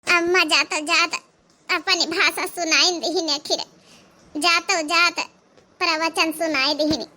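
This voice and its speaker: A woman's voice: very high-pitched, steady tone, slow, sharp tone, heavily acted. A woman voicing a sentimental young village bride with an Awadhi accent, plaintive and theatrical, in a cartoon comedy. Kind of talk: cartoon comedy drama dialogue.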